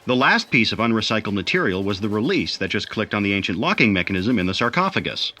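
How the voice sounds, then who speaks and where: Male actor as smug storyteller, lively swooping delivery, teasing, sci-fi audio theatre narration. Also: on the low side, fast talker, exaggerated voice. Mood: storytelling.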